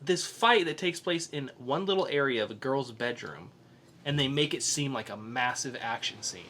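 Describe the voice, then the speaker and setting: A man with a bright, forward voice talks casually about films as a podcast host. He is opinionated and likes to explain his reasoning, at an average pitch for a male speaker. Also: lively intonation, moderate pace, slight background noise. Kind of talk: casual film review chat.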